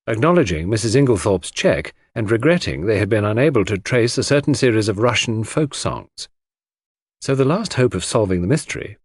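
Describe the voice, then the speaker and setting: A man's voice: fairly low pitch, husky, no room sound, studio quality. A man giving a dramatic scripted narration of a classic mystery audiobook.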